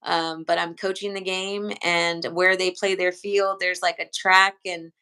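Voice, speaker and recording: A woman from San Antonio, a coach, answers questions in a bright, smooth voice that stays fairly flat and low. She talks earnestly and loosely, with plenty of ums and restarts. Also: measured pace. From San Antonio, Texas. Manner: earnest, rambling with many fillers and restarts. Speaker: head coach, interview guest. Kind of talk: casual interview answers.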